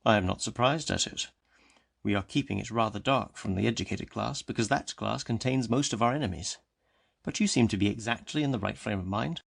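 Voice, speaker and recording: Man playing a solemn, gravelly policeman. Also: lowish voice. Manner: slow, measured and solemn. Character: calm, solemn educated male policeman. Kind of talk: audiobook character reading.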